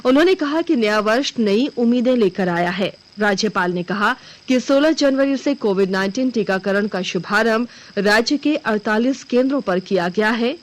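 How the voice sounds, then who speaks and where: A woman reads a scripted news bulletin as the anchor. Her voice sits fairly high, and her delivery is formal and measured throughout. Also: lively intonation, low-quality recording.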